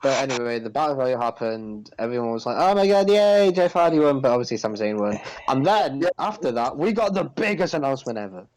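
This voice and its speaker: A man's voice: mid-range voice, animated, quick pace, hardly any pauses, darkish tone, rough recording. Man chatting casually over background noise. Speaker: podcast co-host. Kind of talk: casual chat about pro wrestling.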